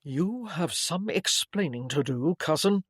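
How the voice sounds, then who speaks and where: A narrator takes the part of a stern, brooding gentleman from a period novel. He gives curt orders with menace. The sound is close and dry. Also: mid-range voice, animated, normal speed, dry recording, lightly acted. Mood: cold, stern. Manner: curt, commanding.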